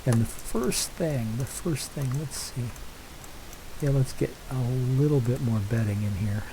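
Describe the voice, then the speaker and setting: A man's voice: mid-range voice, a little slow, background noise. An older man hosting a game let's play, chatting casually and congested from a head cold, rambling with lots of fillers and restarts. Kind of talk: casual gameplay commentary.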